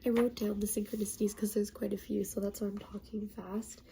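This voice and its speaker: A woman with a cold and a stuffy, congested voice, talking softly and hesitantly in a half-whisper. She pauses on many ums, sounds self-conscious about her voice, and gives a casual spiritual talk as a tarot reader. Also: medium pitch, little pitch movement, creaky, a crowd in the background.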